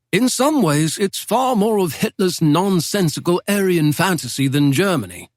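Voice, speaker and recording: A man reads as a chatty older English professor, witty and exact, his voice lifting into a fairly high register and leaping about with animated inflection. The sound is close and dry, with no room around it. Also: no room sound. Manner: lively, playful, precise diction. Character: genial older male Oxford professor, witty and talkative.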